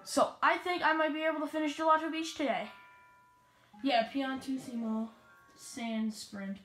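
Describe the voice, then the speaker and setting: A woman giving rambling, casual commentary while playing a video game, with excitable bursts. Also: sits high, normal speed. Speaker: let's play gamer. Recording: roomy echo with game music.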